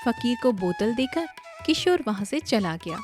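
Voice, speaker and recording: A woman's voice: a little high, expressive, normal speed. A woman narrating a scripted story. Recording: prominent music bed under narration.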